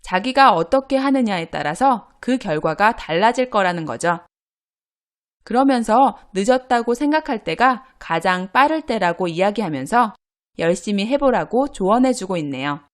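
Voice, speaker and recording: Lively, clear woman teaching a grammar lesson. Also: medium pitch, normal speed. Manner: clear, patient explaining tone. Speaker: Korean language teacher.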